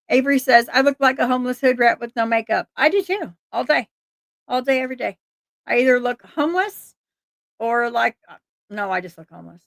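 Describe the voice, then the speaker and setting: A woman hosting a livestream, reading missing persons flyers aloud and chatting with her viewers, her voice a bit hoarse, laughing often and restarting words. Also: a little high, dry recording.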